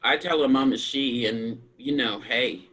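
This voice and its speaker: Deep, husky-voiced woman speaking frankly. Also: unhurried, fairly bright tone. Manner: frank, emphatic storytelling. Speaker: panelist. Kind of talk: candid panel discussion.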